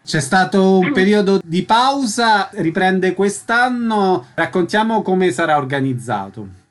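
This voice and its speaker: Higher-pitched male radio interviewer. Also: big pitch swings, measured pace. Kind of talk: radio interview questions and introductions.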